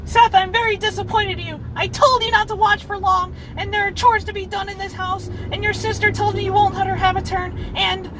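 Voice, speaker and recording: A man voicing an exasperated, scolding mother in a shrill, nagging way for a story reading, with noise around him. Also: level tone, fairly quick, few pauses, recorded from a distance, low-quality recording. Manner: shrill, nagging, piling up complaints.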